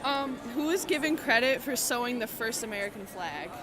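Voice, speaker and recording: A woman's voice: a little high, lively intonation, normal speed. A young woman interviewing people in a casual, chatty street quiz, informal and giggly in manner. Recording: busy public crowd noise behind.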